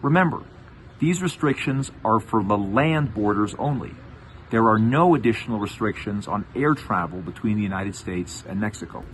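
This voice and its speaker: Man, low voice, formal official announcement, distant with faint background noise. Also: lively intonation, normal speed.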